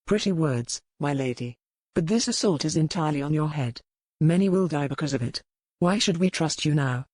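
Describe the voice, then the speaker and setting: A woman's voice: sits low, expressive, normal speed, darkish tone, no echo, voice pushed down. Female narrator doing medieval soldier, suspicious, accusing, curt, scornful, audiobook, dry close sound. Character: suspicious, accusing medieval man-at-arms, hostile to the lady.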